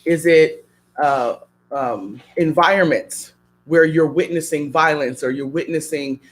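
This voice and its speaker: A man talking on a radio show with a voice pitched a little high. He speaks like a preacher, building his points through strings of repeated questions. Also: measured pace. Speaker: guest. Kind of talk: radio talk show conversation.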